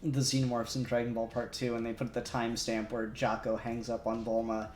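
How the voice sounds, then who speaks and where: A man's voice: mid-range voice, monotone, fairly quick, darkish tone. A man co-hosting a casual livestream, rambling and laughing as he thinks aloud while doing 3D modelling. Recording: echoey room with faint music. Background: faint music.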